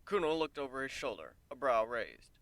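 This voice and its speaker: A man narrating a story as a dramatic reading, in a measured, descriptive manner. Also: mid-range voice, sing-song, fairly bright tone, no echo. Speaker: fan fiction narrator.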